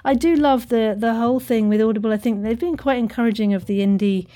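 A woman's voice: on the high side, quick pace, warm tone. A woman hosting a podcast, chatting to guests and listeners in an effusive, playful way full of wordplay. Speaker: podcast host. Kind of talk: chatty interview and sign-off to listeners.

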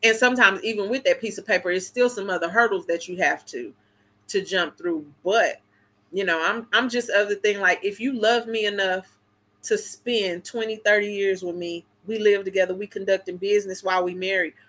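Lady in her 40s, bright forceful voice, blunt and emphatic, laughs loud. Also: mid-range voice, normal speed. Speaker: co-host. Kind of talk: casual chat.

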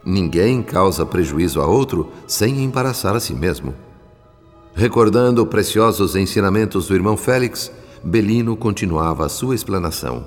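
An actor with a Brazilian accent playing an earnest male spirit narrator in a radio drama, speaking in a measured, solemn, instructive way. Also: low-pitched, expressive. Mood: reflective. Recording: long studio reverb, music underneath.

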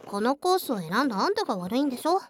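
A woman's voice: on the high side, big pitch swings, rapid-fire, slight background noise. A woman voicing a bossy, teasing tsundere childhood friend working as a cafe waitress in an intimate ASMR voice drama, often slipping into whispers. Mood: teasing, domineering. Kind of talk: Japanese ASMR situation voice drama.

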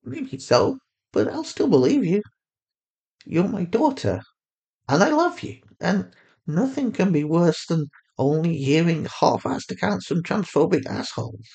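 A man acts out a tender mother of a teenage girl in an audio story. The voice sits well above a man's usual talk, soothing and loving, with a gentle sorrow. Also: fairly high pitch, sing-song, unhurried, vocal fry, voice pushed up, full character voice. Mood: tender, protective.

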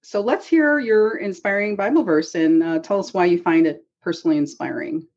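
A woman hosting a faith podcast, reading a measured introduction and then asking casual questions. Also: lowish voice, darkish tone, dull audio. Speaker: podcast host. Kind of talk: scripted introduction and interview questions.

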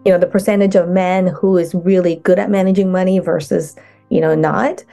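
Gravelly low-voiced woman coach. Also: steady tone, dark tone, soft background music. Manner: halting, many restarts and fillers. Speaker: guest coach. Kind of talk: casual interview talk.

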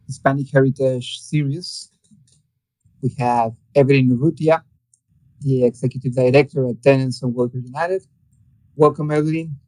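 A man's voice: medium pitch, a little mellow, dry recording, poor audio. A man hosting an interview series, formally reading a prepared introduction and asking questions. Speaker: host.

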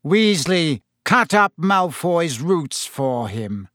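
A man voicing a cold, menacing, scornful male professor in an audiobook, speaking slowly and deliberately. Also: fairly high pitch, very animated, fairly bright tone, dry recording, studio quality, pitched above the performer's own voice, heavily acted. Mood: cold command. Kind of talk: audiobook character voice.

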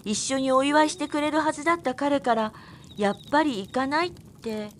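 An actress plays a girlish young woman in a radio drama, earnest and heartbroken. Her voice is extremely high and plaintive, over faint forest ambience. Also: a little fast, slight background noise, close to an everyday voice. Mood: sad, wistful. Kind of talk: audio drama acting.

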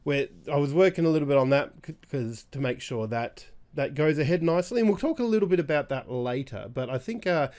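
A man co-hosting a weekly gaming show, a little raspy after being sick, chatting casually with lots of restarts. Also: a little high, animated, darkish tone. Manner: halting, many restarts and fillers. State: slightly raspy from recent illness. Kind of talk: casual chat.

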